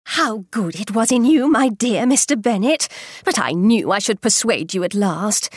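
A woman voicing an excitable, fretful middle-aged mother in an audiobook, high and gushing. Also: normal speed, no room sound, clean studio sound. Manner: shrill, gushing, scolding.

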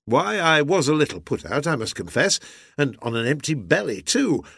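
Man acting hearty, exuberant sea captain, swooping pitch. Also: big pitch swings, normal speed, creaky, fairly bright tone, slightly rough, no echo, studio quality, exaggerated voice. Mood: eager, boastful. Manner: exuberant, bluff, old-fashioned nautical turns of phrase. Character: hearty, beaming, horse-mad married sea captain.

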